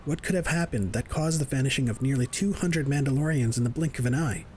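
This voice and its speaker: Mid-pitched male story narrator. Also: a little mellow, slight background noise. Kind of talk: scripted audio drama narration.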